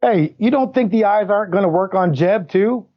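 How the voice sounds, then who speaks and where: A man's voice: sits high, moderate pace, no echo. A man playing a tabletop game, playfully narrating and role-playing his character, on a slightly dull recording.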